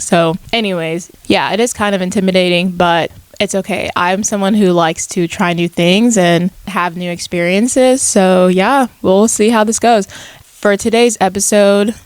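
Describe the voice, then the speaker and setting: A woman's voice: fairly low pitch, smooth, no echo. A woman in her mid-twenties hosting a podcast solo, talking in a casual, chatty, reflective monologue. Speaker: podcast host.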